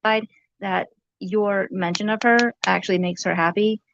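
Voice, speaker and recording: A soft-voiced woman talking casually as in a vlog, halting and rambling. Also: medium pitch, fairly even intonation, vocal fry, dull audio, background noise.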